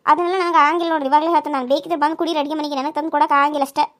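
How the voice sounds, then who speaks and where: A woman voicing a sharp-tongued young daughter-in-law who scolds defiantly. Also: high voice, steady tone, very fast, lightly acted. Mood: snappy.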